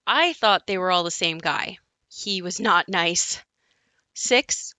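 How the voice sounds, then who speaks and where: Actress as a woman recalling her haunted childhood, reflective audio play monologue, measured, really dry close sound. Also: on the low side, expressive, sharp tone, no echo, exaggerated voice. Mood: wry, reflective.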